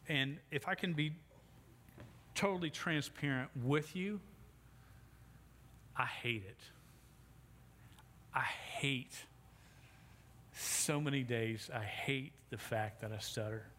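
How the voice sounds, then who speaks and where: A man's voice: mid-range voice, slow pace, long pauses, bright, forceful tone. Middle-aged male pastor with a stutter, preaching into a church mic, long hall echo. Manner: stutters, with repeated sounds and restarts. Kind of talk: sermon. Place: church sanctuary. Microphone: sound system microphone. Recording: long hall reverb, faint background noise.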